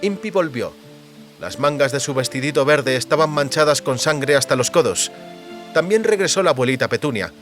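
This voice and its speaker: A man's voice: mid-range voice, expressive, moderate pace, fairly bright tone, faint music behind. A man reading a fantasy audiobook as dramatic scripted narration.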